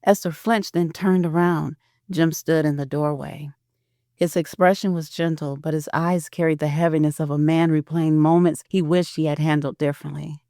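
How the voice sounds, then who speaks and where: A woman with a very low voice narrates a scripted audio drama. The recording is very dry and close, with no room around her. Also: fairly even intonation, normal speed, dark tone, no room sound.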